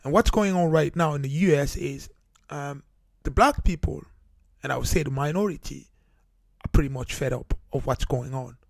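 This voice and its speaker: Earnest gravelly-voiced man hosting a talk show. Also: a little high, normal speed, dry recording. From Cameroon. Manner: earnest, repetitive, many fillers and restarts. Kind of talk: solo podcast monologue. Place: United Kingdom.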